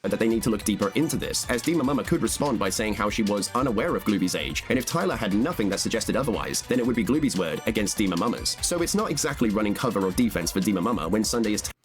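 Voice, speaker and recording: A man's voice: fairly low pitch, animated, fast talker, few pauses, faint music behind. A man reading scripted narration as the narrator of a video essay.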